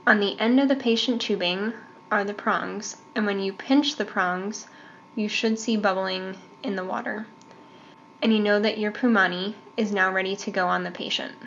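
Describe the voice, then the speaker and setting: A woman giving step-by-step instructions as a training instructor, in a measured way. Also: medium pitch, fairly even intonation, a bit bright, muffled recording, a little hiss or hum. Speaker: medical device training instructor.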